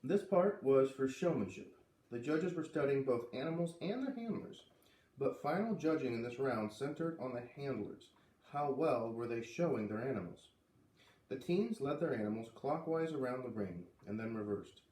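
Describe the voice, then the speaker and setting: A man reads a children's story aloud with expression, giving the dialogue a light lift. His voice sits at some distance from the microphone, with clear room echo around it. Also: medium pitch, expressive, moderate pace, darkish tone. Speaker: reader of a children's book read-aloud series. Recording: roomy, voice away from the microphone.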